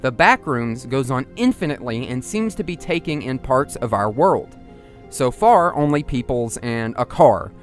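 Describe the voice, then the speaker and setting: A man's voice: medium pitch, expressive, moderate pace. A man narrating an analytical video essay in a musing, explanatory and partly conversational manner. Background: music under the voice.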